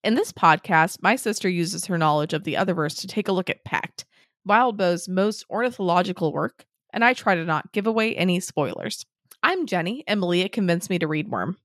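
A young woman co-hosting a podcast with her sister, her pitch swinging widely as she jokes, laughs and swears. Her voice is still slightly rough from a recent cold. The sound is close and dry. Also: lively intonation, brisk, a bit bright, no echo. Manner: laughing, loose, swearing freely. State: recovering from a cold, voice a bit off. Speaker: podcast co-host. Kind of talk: casual chat.

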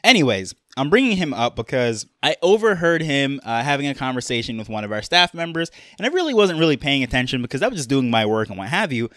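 A man's voice: medium pitch, very animated, quick pace, few pauses, a bit bright, no echo, clean studio sound. A young man with a New York accent hosting a casual solo podcast, rambling along with fillers and restarts. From Jamaica, raised in New York. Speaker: podcast host.

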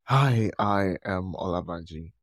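A man's voice: sits low, a little slow, no room sound, clean studio sound. A man from Nigeria with a Nigerian accent, talking casually as co-host of a podcast. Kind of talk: casual conversation.

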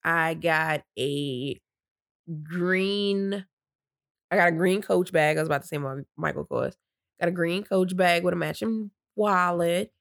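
A woman's voice: fairly low pitch, measured pace, vocal fry, dry recording, studio quality. Chatty woman with a stuffy nose. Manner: chatty, downplays excitement, rambling with restarts. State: stuffy nose, catching her breath. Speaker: podcast co-host. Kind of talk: casual storytelling chat.

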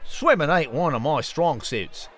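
Breezy Australian kangaroo bloke, lively pitch. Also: male voice, a little slow. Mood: breezy, matey. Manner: colloquial, matey. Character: breezy, matey male kangaroo from down under. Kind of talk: comic audio drama banter.

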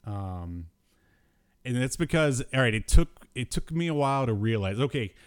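A man's voice: medium pitch, lively intonation, moderate pace, smooth, no echo, studio quality. A man hosting a podcast, chatting casually in a joking, rambling, informal way. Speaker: podcast host. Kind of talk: casual pop-culture podcast banter.

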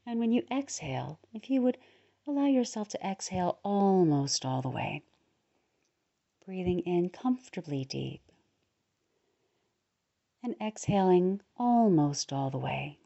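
A woman leading a guided relaxation meditation in a soothing, unhurried manner, pausing between short phrases. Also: on the low side, sing-song, vocal fry, mellow, dull audio. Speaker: guided meditation leader, podcast host.

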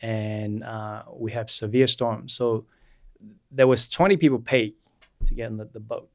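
A man in his forties from Vietnam, whose first language is Vietnamese, telling his life story as a guest chiropractor in an unhurried way with many fillers. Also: on the low side, steady tone, no room sound, clean studio sound. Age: 40s. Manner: unhurried, with frequent fillers and restarts. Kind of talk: personal storytelling in an interview.

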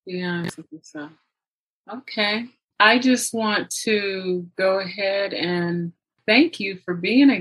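A woman with a slightly low voice speaks as a podcast host, chatting with a guest. Her manner is effusive and full of appreciation, in a conversational interviewing style. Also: a bit bright, low-quality recording.

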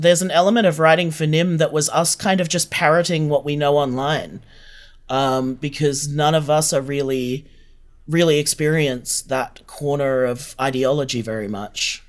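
An Australian podcast creator speaks in a medium register. The delivery is casual and conversational, that of an interviewee thinking out loud while explaining. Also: medium pitch.

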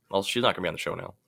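Deep, quick man with vocal fry. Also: bright, forceful tone, no room sound. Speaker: podcast co-host. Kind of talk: casual chat.

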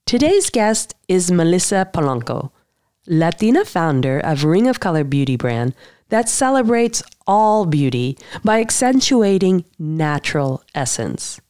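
A woman speaks as the host of an interview podcast. Her voice sits a little low. The recording is dry, with very little room sound. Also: very animated, unhurried, warm tone, no echo. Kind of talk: scripted podcast introduction and interview.

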